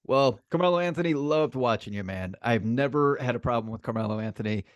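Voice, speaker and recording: A man's voice: medium pitch, a little fast, no echo. A man co-hosting a sports podcast in casual, opinionated chat, emphatic and informal, repeating phrases for emphasis.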